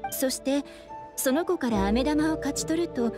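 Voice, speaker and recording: A woman's voice: sits high, unhurried, music in the background. A woman playing a polite young kimono shopkeeper in an anime game, speaking in a frank, matter-of-fact way.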